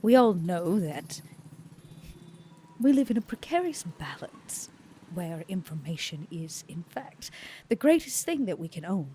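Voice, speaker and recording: A woman's voice: lowish voice, very animated, mellow, slight background noise. A woman playing a guarded, whispery vampire lady. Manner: slow, measured, often half-whispered. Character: composed, guarded female vampire.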